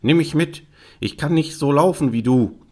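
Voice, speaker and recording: A small storybook frog, played by a man, speaks in a raised pitch well above a low everyday register. He is insistent and emphatic, always pressing his bargain like a creature who will not be turned away. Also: normal speed, natural voice. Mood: pleading. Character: small pleading, insistent male frog from a fairy tale. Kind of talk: fairy-tale storybook character reading.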